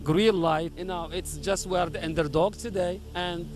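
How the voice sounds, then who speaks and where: A man's voice: high-pitched, steady tone, over music. A man from Morocco, a community leader, speaking earnestly in an interview with frequent restarts. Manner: earnest, halting with repeated restarts. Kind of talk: recorded interview.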